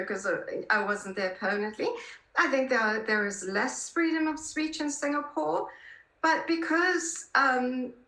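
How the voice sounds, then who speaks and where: High, bright-voiced South African woman. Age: 50s. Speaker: podcast guest, political columnist. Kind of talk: conversational interview answers. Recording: roomy, echoing sound.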